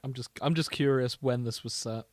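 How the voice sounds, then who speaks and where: A man's voice: mid-range voice, fairly even intonation, a little fast, darkish tone, no room sound. A man co-hosting a podcast, chatting casually and telling stories in a wry, mock-serious way. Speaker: podcast host. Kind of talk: casual comic chat.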